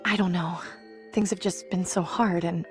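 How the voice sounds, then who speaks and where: A woman's voice: on the low side, steady tone, normal speed, soft background music, close to an everyday voice. An actress playing a weary, troubled young woman survivor in a video game, speaking in an earnest, hesitant, plain way. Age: young adult. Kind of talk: video game character acting.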